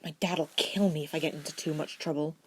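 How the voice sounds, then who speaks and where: A woman's voice: low voice, steady tone, a little fast, breathy edge. A woman voicing a wary, feisty young schoolboy in a storybook reading, guarded and blunt.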